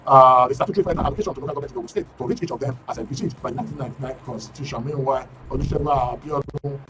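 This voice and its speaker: A man's voice: mid-range voice, very fast, a bit bright, far from the mic, faint music behind. A man with a Nigerian English accent hosting a current affairs show, reading news snippets with frequent fillers and restarts. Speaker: radio and TV current affairs host.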